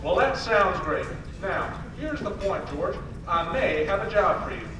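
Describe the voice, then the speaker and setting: A man's voice: sits high, expressive, a little slow. A young student actor playing a brash, jovial businessman in an old-fashioned radio play, with a hearty, teasing manner. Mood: confident pitching. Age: teenager or young adult. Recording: echoey hall from far off, noisy.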